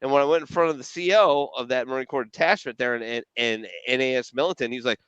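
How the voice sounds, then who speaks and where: A man talks from his home basement in blunt, salty storytelling. His voice is smooth and clear at a middle pitch. The recording is dry and a bit dull, a lo-fi home setup. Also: fast talker, a bit bright, no room sound. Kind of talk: casual chat.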